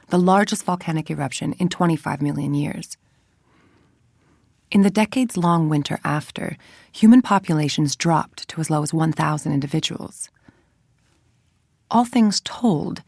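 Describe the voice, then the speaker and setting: Game villainess, scientist. Deep female voice, dry close recording, icy, precise and clinical delivery. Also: gravelly, a little mellow, breathy edge, no room sound, exaggerated voice. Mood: clinical, brooding. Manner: clinical, articulate, controlled, formal. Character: cold, calculating villainous scientist woman running a research group. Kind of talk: scripted game voice-over, audio log monologue.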